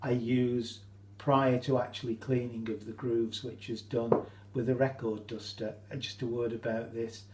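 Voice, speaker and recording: British male hobbyist demonstrator. Relaxed, dark tone, hesitant informal delivery, faint hum in the recording. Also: medium pitch. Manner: hesitant, halting, explanatory. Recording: roomy echo, faint hum.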